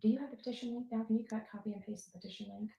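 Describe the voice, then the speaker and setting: A woman's voice: fairly high pitch, fairly even intonation, racing, gravelly, warm tone. A woman hosting a casual livestream for followers, rambling and repeating herself for emphasis. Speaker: livestream host and advocate. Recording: roomy, echoing livestream replayed.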